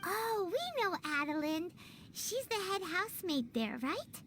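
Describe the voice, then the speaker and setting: Tiny fairy sidekick, extremely high-pitched, lively swoops, excitable, blunt, game cutscene. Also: female voice, unhurried, a little hiss or hum, exaggerated voice. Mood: curious. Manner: refers to self in third person, excitable. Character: small childlike floating fairy companion, female, peppy and blunt. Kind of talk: animated game voice acting.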